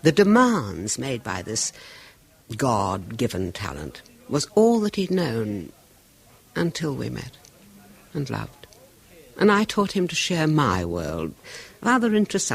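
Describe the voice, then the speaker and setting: Marianne, husky anguished wife. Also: female voice, extremely low pitch, exaggerated voice. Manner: intense, confiding, drops to hushed whispers. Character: anguished wife of a tormented violinist. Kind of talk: 1970s radio drama acting.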